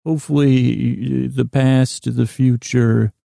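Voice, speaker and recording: A middle-aged man with a gravelly, creaky voice, hosting a bedtime sleep podcast in a meandering, halting monologue that trails off into tangents. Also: medium pitch, fairly even intonation, dark tone, dry recording, studio quality. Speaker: podcast host.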